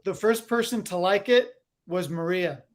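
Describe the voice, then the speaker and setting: Man, high voice, short thoughtful remarks, casual chat. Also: moderate pace. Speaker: panelist.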